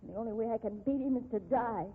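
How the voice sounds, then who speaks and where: An actress playing an earnest, pleading office bookkeeper being blackmailed in a 1950s radio drama. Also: female voice, a little high, very fast, slightly rough. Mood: despairing. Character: accused female office bookkeeper, desperate blackmail victim. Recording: tinny band-limited 1950s radio recording.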